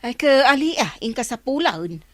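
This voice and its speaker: A woman's voice: high voice, big pitch swings, moderate pace, dry recording. A woman playing a chatty, gossipy female village councilor in a comedy drama. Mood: conversational.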